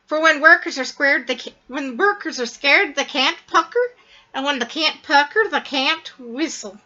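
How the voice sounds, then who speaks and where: A woman reading a children's story aloud in an expressive, dramatic way, drawing out words for emphasis. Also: sits high, highs cut off. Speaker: storybook reader.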